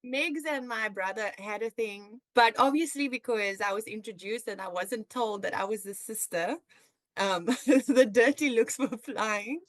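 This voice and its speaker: Young South African woman, podcast host. Higher-pitched, dry sound, casual and chatty. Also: a little slow, fairly bright tone, no echo. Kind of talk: casual chat with a friend.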